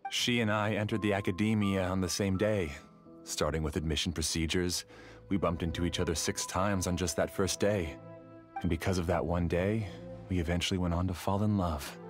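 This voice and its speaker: An actor playing a formal, self-assured, obsessive scholar who rules a dream world in an anime game. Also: male voice, low-pitched, fairly even intonation, moderate pace, soft background music, close to an everyday voice. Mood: wistful. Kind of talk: anime video game voice acting.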